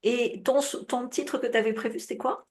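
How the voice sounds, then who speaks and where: A woman coaching on a group call in a relaxed, chatty way, heavy with fillers and check-ins, her voice a bit worn with coughing. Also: fairly high pitch, normal speed. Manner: casual, advising, many fillers and tu vois. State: coughing, voice tiring. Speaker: business coach.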